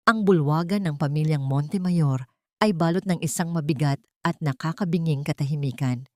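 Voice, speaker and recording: A woman reads a dramatic audiobook story in a low voice. Her delivery is solemn and deliberate, following a written script. The recording is dry and close, with very little room sound. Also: darkish tone, dry recording. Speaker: audiobook narrator.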